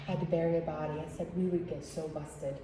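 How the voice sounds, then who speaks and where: A woman's voice: low voice, steady tone, fairly quick, far from the mic. A woman teaching hands-on in an informal, jokey way, like an instructor chatting with trainees. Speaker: instructor demonstrating IV needle insertion. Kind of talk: casual hands-on teaching with anecdotes. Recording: echoey room with clear background noise.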